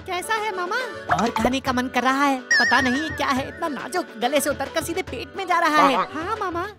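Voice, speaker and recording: A woman voicing a cheeky young scheming pal in a cartoon comedy story, with exaggerated, animated delivery. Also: sits high, moderate pace. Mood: cheerful, chatty. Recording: loud music behind the voice. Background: loud music.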